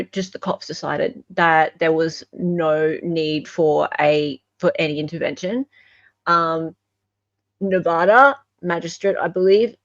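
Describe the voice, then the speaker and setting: A woman's voice: on the low side, no room sound, dull audio. A woman with an Australian accent hosting an online show, giving casual, emphatic commentary and repeating phrases for emphasis. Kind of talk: casual commentary on court documents and recordings.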